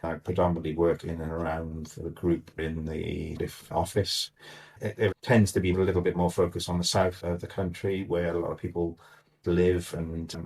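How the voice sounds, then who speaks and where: An older man, a semi-retired mentor, explaining things in a measured, conversational way with frequent restarts. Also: sits low, darkish tone. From Wales. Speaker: guest mentor. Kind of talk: relaxed conversational interview.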